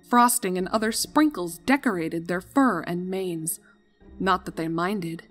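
A woman narrates a scripted fan story in an expressive storytelling style. Her voice sits at a medium pitch, with music playing underneath.

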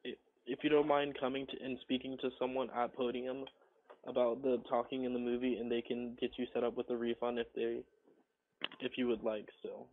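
Man, mid-pitched, polite cinema employee, halting and repetitive, thin telephone sound. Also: level tone, noisy background. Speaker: cinema customer service employee. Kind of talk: phone customer service. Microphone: telephone call. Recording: tinny muffled phone line.

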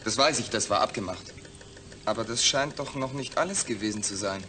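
An actor playing a wary, wry astronaut in a new body in a German radio play. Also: male voice, lowish voice, fairly quick, close to an everyday voice. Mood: wary, questioning.

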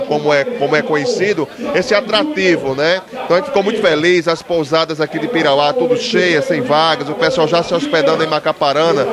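Northeastern Brazilian mayor, man over loud crowd. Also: on the high side. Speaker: town mayor being interviewed. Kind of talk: political interview answers. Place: outdoor night event, in front of a sponsor backdrop. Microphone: handheld microphone held by interviewer. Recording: loud crowd noise behind, outdoors.

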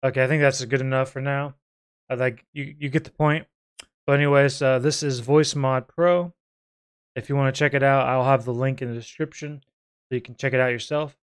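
Man casually demoing software, clean dry sound. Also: mid-range voice, steady tone, a little fast, clean tone, dry recording. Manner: informal, hesitant, rambling. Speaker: video creator reviewing software. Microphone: close desktop microphone.

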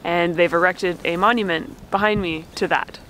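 A woman's voice: fairly low pitch, steady tone, normal speed, fairly bright tone, rough recording. A woman in her thirties telling stories as a travel vlogger, filmed outdoors on a handheld camera at arm's length. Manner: chatty, playful storyteller. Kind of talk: casual travel vlog narration. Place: outdoors at steaming volcanic hot springs. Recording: outdoor wind and steam noise. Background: hissing steam vents.

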